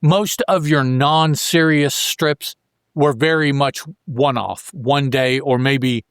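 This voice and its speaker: Male co-host, medium pitch, conversational, stumbles and rephrases, close dry recording. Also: fairly even intonation, a bit bright, clean tone, dry recording. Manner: halting, stammering, rephrasing as he goes. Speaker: podcast co-host. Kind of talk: casual chat.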